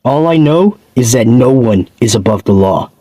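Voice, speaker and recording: A man's voice: mid-range voice, expressive, normal speed, warm tone, no echo, natural voice, low-quality recording. A man playing an ordinary city civilian in an audio drama. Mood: firm.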